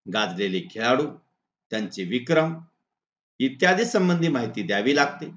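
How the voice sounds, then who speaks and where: A man teaches as he reads out model exam answers in a deliberate, instructional way, point by point. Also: mid-range voice, big pitch swings, fairly bright tone, slight background noise. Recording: echoey room, dull lo-fi sound.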